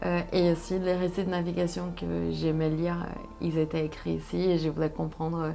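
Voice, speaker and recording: A young woman from Brazil, a native Portuguese speaker with a Brazilian accent, telling her story conversationally and haltingly as she searches for words. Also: on the low side, completely flat delivery, vocal fry, dark tone, soft background music. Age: young adult. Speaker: interview guest, solo sailor.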